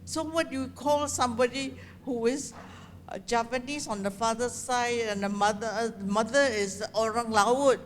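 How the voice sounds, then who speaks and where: A woman speaker answering audience questions at a seminar, measured and pausing as she thinks. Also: fairly high pitch, fairly even intonation, a little hiss or hum. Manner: measured, thinking aloud with pauses. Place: seminar room in a library. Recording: reverberant seminar hall.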